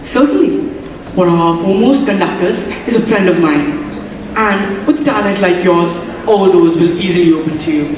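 A man's voice: high-pitched, moderate pace, slight background noise, natural voice. A young man playing an earnest, courteous male poet on a school stage, speaking in a formal, theatrical way. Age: young adult. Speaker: student actor. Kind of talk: stage acting in a school play. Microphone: far stage mic. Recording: echoey hall from far off, music behind.

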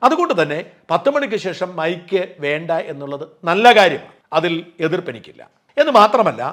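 A middle-aged man from Kerala talks quickly and pointedly as the host of a news channel. His voice sits a little high for a man, and he argues his case with emphasis. He backs his points with his own experience. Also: a bit bright. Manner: emphatic, argumentative, drawing on personal experience. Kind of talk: opinion commentary.

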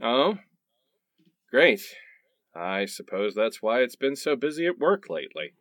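A man's voice: mid-range voice, big pitch swings, measured pace, fairly bright tone, no room sound, clean studio sound. An actor playing a defensive, exasperated husband with a whiny manner, bickering with his wife in a radio play. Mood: weary, sarcastic.

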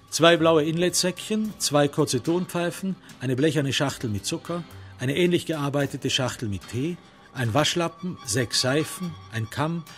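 Male crime radio drama narrator. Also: on the high side. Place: studio.

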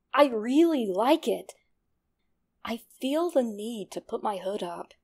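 A woman plays a cold, self-satisfied young killer in a horror audio story. The delivery is sinister and slow, with plenty of creak in the voice, as each journal entry is savored. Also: medium pitch, darkish tone. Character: smug, cold young male killer writing his journal.

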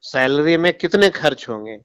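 A man's voice: fairly high pitch, expressive, normal speed, clean tone. A man, a government official on a phone call, lecturing in an impatient, condescending way. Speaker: government minister taking a call. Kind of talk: phone conversation. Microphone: telephone call recording.

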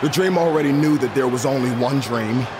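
A man's voice: mid-range voice, a little fast, close to an everyday voice. An actor playing an arrogant male wrestler who talks about himself in the third person, theatrical and self-obsessed, in a video game cutscene. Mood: taunting. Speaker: wrestler character. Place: wrestling arena ring. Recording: loud arena crowd and noise behind.

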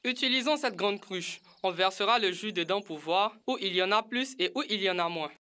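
A man's voice: sits high, animated, brisk, pushed and bright, smooth. A man voicing a sensible, assured child who explains things in an educational cartoon.

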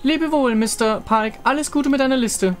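A man's voice: very high-pitched, moderate pace, soft background music, voice pushed up. A man voicing a sweet, curious, polite young girl with a naive manner in a fantasy game story. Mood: friendly.

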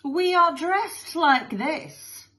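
A woman voicing a doting, weepy storybook mother who gushes in drawn-out, melodramatic baby talk. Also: high-pitched, expressive, sharp tone, voice pushed up, heavily acted. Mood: proud, gushing. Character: gushing, sickly-sweet, weepy doting mother.

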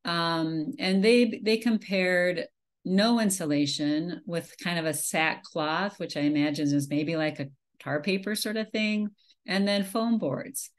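A woman's voice: fairly low pitch, measured pace. A woman from Minnesota giving a slide presentation on a video call, talking casually with many fillers and restarts. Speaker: beekeeping presenter. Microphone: webcam on a video call.

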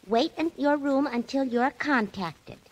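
A woman's voice: high-pitched, a little slow, highs cut off. A woman playing a naive, ditzy, earnest secretary in 1940s radio drama style. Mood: reading aloud. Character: naive ditzy female secretary, earnest, misusing big words.

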